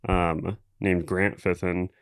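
A man hosting a casual podcast, talking in a relaxed, halting way with many pauses and ums. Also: deep voice, no echo, studio quality. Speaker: podcast host.

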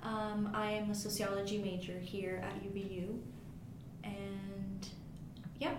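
A woman's voice: medium pitch, flat delivery, slow. A young woman co-hosting a student podcast, earnest and slightly scripted in tone. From United States. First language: Spanish. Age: young adult. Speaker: student co-host. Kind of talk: casual podcast chat. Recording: echoey room with noise.

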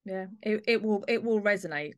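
A woman's voice: mid-range voice, a little fast, no room sound, studio quality. A woman hosting a podcast, chatting and musing conversationally in an interview about drama. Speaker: podcast host. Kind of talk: interview chat about an audio play.

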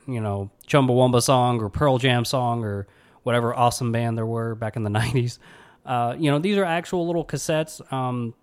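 Man, Alabama accent, podcast host, earnest, rambling, lots of fillers, mid-pitched voice. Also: darkish tone. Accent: Alabama Southern. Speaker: podcast host, pathology resident. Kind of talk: casual solo podcast monologue.

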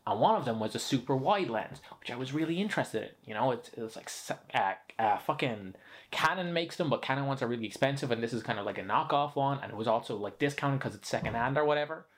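A man's voice: mid-range voice, lively intonation, fast talker. A young man who grew up in Spain, co-hosting a casual drunken podcast chat and ranting animatedly, swearing freely. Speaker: podcast co-host.

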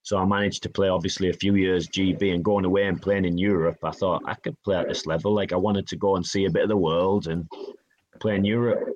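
A man from the of England with a low voice talks casually in an interview. He tells long anecdotes and quotes other people's lines back in dialogue. His tone is easygoing and self-deprecating. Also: fairly even intonation, quick pace, darkish tone, rough recording. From Northeast England. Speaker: guest, hockey player.

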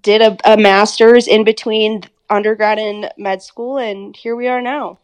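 A woman's voice: medium pitch, fairly even intonation, measured pace. A young woman in her twenties with an American accent, a medical student chatting casually as a podcast guest, rambling with many fillers. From India.

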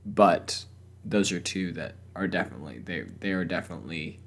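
A man's voice: low-pitched, moderate pace. A young man hosting a casual solo podcast, his voice weak and strained from a cough, talking hesitantly with many fillers and restarts. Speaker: podcast host.

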